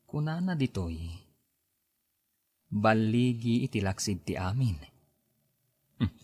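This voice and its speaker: An unhurried older husband, acted by a man in a radio drama. He reads aloud very slowly in a low voice. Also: very animated, a little mellow, slightly rough, heavily acted. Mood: calm, absorbed.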